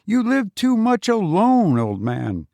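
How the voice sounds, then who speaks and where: A man's voice: high voice, wildly swooping pitch, slow, creaky, mellow, dry recording, voice pushed up, heavily acted. A man voicing a smug, patronizing, chatty English writer with a gushing, falsely modest manner in an audiobook story. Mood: sentimental, chummy. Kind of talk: acted dialogue in a literary audiobook.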